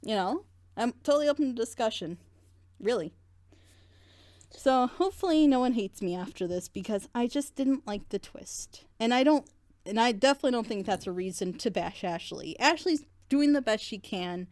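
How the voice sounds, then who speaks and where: A woman's voice: fairly high pitch, animated, normal speed, a little mellow, dry recording. A woman in a casual group reaction chat, talking earnestly and passionately in long, rambling stretches. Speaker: reaction group member leading the discussion.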